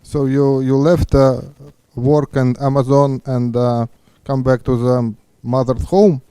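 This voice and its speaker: A middle-aged man hosting an interview, halting and often stumbling over his words. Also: mid-range voice, little pitch movement, dark tone, clean tone, dry recording. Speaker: interviewer and host. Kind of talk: live interview chat. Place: indoor studio, seated on a sofa. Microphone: handheld microphone.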